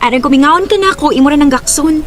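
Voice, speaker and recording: A woman's voice: sits high, quick pace, slight background noise, close to an everyday voice. An actress with a Cebuano accent playing a sweet, affectionate young female student and girlfriend. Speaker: radio drama actress. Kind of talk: Cebuano radio drama acting.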